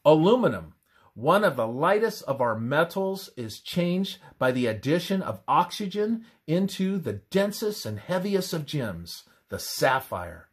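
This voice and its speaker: A man reads a scripted religious text aloud in a measured, deliberate manner, pausing between phrases. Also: on the high side, sing-song, breathy edge. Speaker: reader of a religious presentation. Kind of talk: scripted devotional narration, read aloud.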